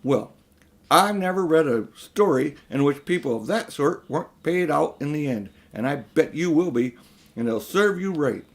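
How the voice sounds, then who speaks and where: A man's voice: a little high, expressive, normal speed. A man voicing a brave, indignant young schoolboy in a children's audiobook, talking bluntly and defiantly. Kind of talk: children's audiobook character reading.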